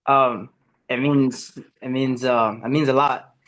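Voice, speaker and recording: A man's voice: medium pitch, fairly even intonation, slow pace, fairly bright tone. Eager young man rambling in casual chat. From America, Ecuadorian and Puerto Rican family. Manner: eager, rambling, many fillers and restarts. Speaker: amateur MMA fighter, guest. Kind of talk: casual podcast interview.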